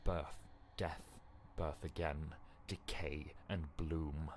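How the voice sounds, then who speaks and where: A man reading dark game narration as a dramatic script, grave, deliberate and ominous. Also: extremely low pitch, fairly even intonation, a little hiss or hum. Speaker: amateur voice actor.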